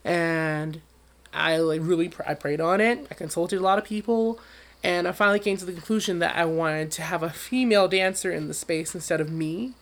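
A man who sings professionally, talking as an interview guest with a high voice and an animated, storytelling manner. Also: fairly quick. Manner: animated storyteller, acts out quotes with big leaps in pitch. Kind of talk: conversational interview.

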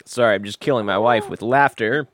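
A man's voice: on the low side, brisk, fairly bright tone, no echo. Sarcastic, swearing male podcast co-host. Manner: sarcastic, profane, joking. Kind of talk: casual, irreverent banter and ranting.

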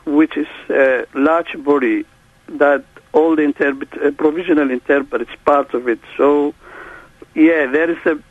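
A man whose first language is Somali, a court interpreter speaking as a guest in a halting way, with many restarts. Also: on the high side, fairly even intonation, dry recording. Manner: halting, restarts often, non-native phrasing. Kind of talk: phone interview. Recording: tinny phone line.

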